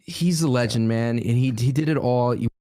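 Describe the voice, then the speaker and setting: A man who is a guest on a podcast, in casual chat, talking in an animated, excitable way, his voice jumping up whenever he stresses a point. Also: mid-range voice, moderate pace, no echo, clean studio sound.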